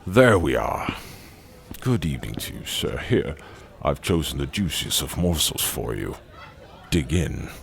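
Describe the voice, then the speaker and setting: An actor playing a wealthy, jovial and silkily sinister banquet host in an audio drama, with grandiose, savoring delivery. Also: male voice, big pitch swings, unhurried, exaggerated voice. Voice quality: deep, gravelly.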